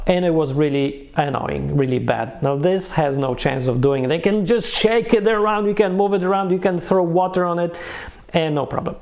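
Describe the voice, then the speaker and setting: Gravelly, higher-pitched man reviewing casually. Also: darkish tone. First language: not English. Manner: hesitant, searching for words, self-correcting. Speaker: amateur product reviewer. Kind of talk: unscripted casual phone review.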